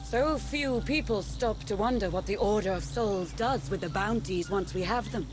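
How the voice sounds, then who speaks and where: An actress voicing a solemn mystic of a soul order who speaks slow and measured, with music behind. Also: female voice, mid-range voice, natural voice. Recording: game cutscene with music behind.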